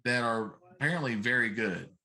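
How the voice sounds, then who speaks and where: A man's voice: mid-range voice, moderate pace, bright, forceful tone. A middle-aged man co-hosting a casual talk show, giving emphatic, grumbling opinions and repeating himself for effect. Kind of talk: casual opinionated chat about pop culture.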